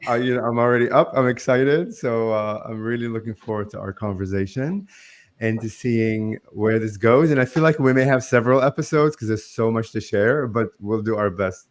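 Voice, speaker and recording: A man's voice: medium pitch, moderate pace. Earnest man in his forties. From Lebanon. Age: 40s. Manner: earnest, loose, heavy with "like" and "um". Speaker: guest, spiritual channel. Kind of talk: conversational interview.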